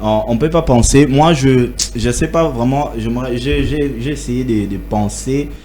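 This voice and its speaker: A young man with a Congolese accent, speaking haltingly in a debate and switching between French and Lingala. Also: medium pitch, dark tone, a little hiss or hum. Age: young adult. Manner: halting, many restarts, mixes French with Lingala. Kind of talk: hesitant debate talk.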